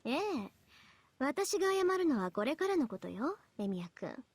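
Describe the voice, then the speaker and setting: A woman's voice: high voice, big pitch swings, fast, breathy edge, no room sound, exaggerated voice. A proud young girl mage from a visual novel. She carries herself with haughty confidence and taunts her opponent as someone sure of the upper hand. The delivery is polished game acting. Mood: menacing, taunting.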